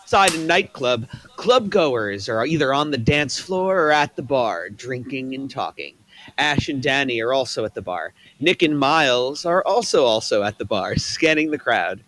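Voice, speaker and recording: A man reading the stage directions aloud at a table read in a plain, even way. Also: medium pitch, moderate pace, a little hiss or hum.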